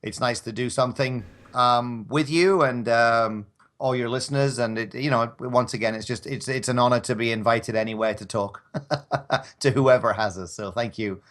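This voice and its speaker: A man's voice: medium pitch, fairly even intonation, moderate pace, fairly bright tone. A man chatting casually as a podcast guest, polite and hesitant, often restarting his phrases. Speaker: podcast guest, audio drama performer. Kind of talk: casual chat.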